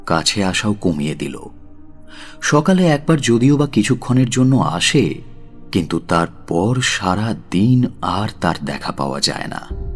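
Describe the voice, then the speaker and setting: A man narrating a literary audio story in a dramatic, scripted style. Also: fairly low pitch.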